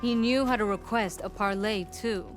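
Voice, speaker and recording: An actress playing a proud warrior squad leader who gives orders and scorns help in a game. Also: female voice, medium pitch, normal speed, over music, lightly acted. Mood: brusque. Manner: brusque, commanding, scornful. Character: proud female squad leader, brusque and hostile.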